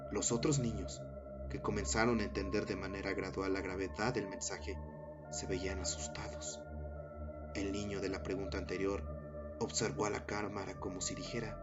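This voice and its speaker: A man with a fairly low voice narrates a horror story. His telling is measured and dramatic. The sound is a little dull, with loud music running behind him. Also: level tone. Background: loud background music.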